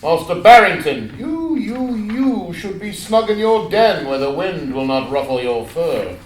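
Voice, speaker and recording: A man's voice: high-pitched, sing-song, measured pace, slight background noise. Man as a pompous scholarly owl, echoing and distant. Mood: condescending, scolding. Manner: pedantic, formal, stuttering hoots on words. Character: pompous, scholarly, condescending old-fashioned great gray owl. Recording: echoey room, far from the mic.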